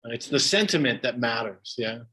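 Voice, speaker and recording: Male meditation teacher, mid-pitched and easygoing, loose conversational delivery full of fillers, taking audience questions. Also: normal speed, slightly rough. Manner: relaxed, casual, conversational, many fillers. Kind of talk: dharma talk with audience questions.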